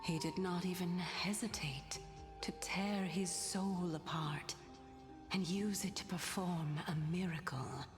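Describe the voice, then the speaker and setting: A woman voicing a calm, gracious ancient goddess in human disguise, speaking softly in a breathy half-whisper. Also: on the low side, slow, in a large hall. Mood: calm, knowing. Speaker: game character. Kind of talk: video game voice acting. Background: game music.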